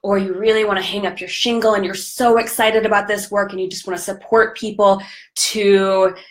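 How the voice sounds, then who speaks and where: A woman with a slightly raspy voice from a recent cold, hosting a live coaching stream in an upbeat, chatty way. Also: medium pitch, little pitch movement, moderate pace. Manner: upbeat, chatty, greets viewers by name. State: recovering from a cold. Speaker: podcast host and business coach.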